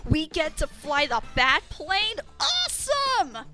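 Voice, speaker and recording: A woman voicing a wide-eyed, boyishly excitable cartoon everyman in a dramatic reading. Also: high-pitched, takes it slowly, soft background music, voice pushed up, exaggerated voice. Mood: awed, thrilled.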